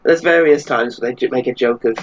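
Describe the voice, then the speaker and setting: A man's voice: mid-range voice, brisk. A man chatting casually as a podcast panelist, through a dull, faulty-sounding recording. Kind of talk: casual chat.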